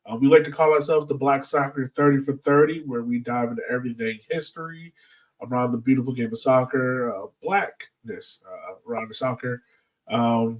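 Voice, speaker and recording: A man hosting a podcast in a casual, informal, slangy way. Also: mid-range voice. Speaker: podcast host. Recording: slightly distant from the mic.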